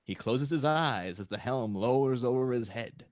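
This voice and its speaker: Guy narrating action scenes from a film script, mid pitch, close dry recording. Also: normal speed, darkish tone, no room sound. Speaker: narrator reading stage directions. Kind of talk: dramatic script narration at a table read.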